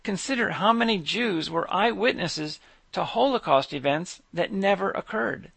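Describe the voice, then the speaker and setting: A man with a slightly high voice reads his own scripted monologue. His delivery stays measured and even. The recording is close and dry, with no room sound. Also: gravelly, slightly rough, no room sound. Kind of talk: scripted monologue read aloud.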